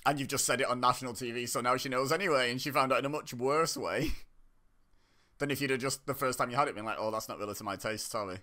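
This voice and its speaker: A man from the UK talks through a reality show episode in loose, chatty bursts. His voice is bright and forward, and he laughs and trips over his own sentences as he jokes. It sounds like a close mic in a home setup. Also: mid-range voice, fast talker. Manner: chatty, joking, laughs often, self-interrupting. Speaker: online reaction host. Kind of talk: casual reaction commentary.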